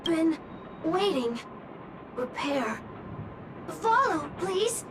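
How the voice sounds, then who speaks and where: Game robot, caretaker type, loyal and simple,; very slow, high, clipped phrases over game music. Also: female voice, very animated, noisy background, exaggerated voice. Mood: plain. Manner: halting broken phrases, one or two words at a time. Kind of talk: video game cutscene dub.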